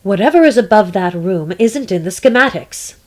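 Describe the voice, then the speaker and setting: A woman's voice: mid-range voice, animated, moderate pace, a little mellow, natural voice. A woman playing a confident, wry techno-powered mastermind in a radio play. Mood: wry, focused. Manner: composed, wry.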